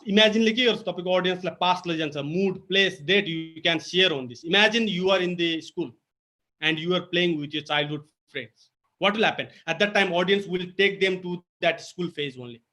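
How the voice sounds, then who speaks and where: A man's voice: high voice, normal speed, pushed and bright. A young man with a Nepali accent teaching public speaking in an online webinar, explaining each point emphatically and repeating it. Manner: emphatic, repetitive teaching delivery with code-switching. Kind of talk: webinar lecture. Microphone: video call.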